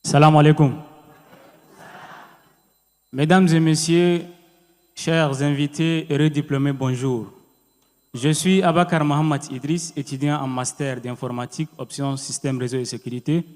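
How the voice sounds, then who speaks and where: A young man gives a proud, lively welcome speech as a student at a graduation. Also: fairly high pitch, measured pace, pauses often. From Chad. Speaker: student speaker. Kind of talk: formal ceremonial welcome speech. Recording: reverberant hall.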